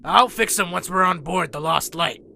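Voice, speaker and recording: A man playing a gruff, level-headed robot doctor in a motion comic. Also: high voice, moderate pace, sharp tone, slight background noise, exaggerated voice. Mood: reluctant, resigned. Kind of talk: motion comic character acting.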